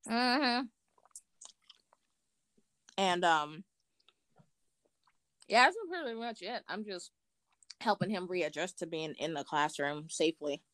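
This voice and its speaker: A woman guest in casual chat over a remote call, sounding congested from allergies, animated and acting out little voices in her stories. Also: on the low side, takes it slowly, long pauses, dry recording. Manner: animated storyteller, acts out voices.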